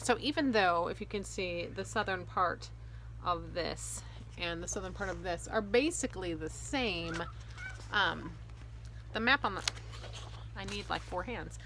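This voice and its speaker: A woman's voice: on the low side, a little slow, fairly bright tone, low-quality recording. A woman in her thirties or forties talking casually and expressively to viewers as an author hosting her own video. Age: 30s to 40s. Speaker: fantasy author and video host. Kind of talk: casual explanatory vlog to viewers. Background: faint ambient noise.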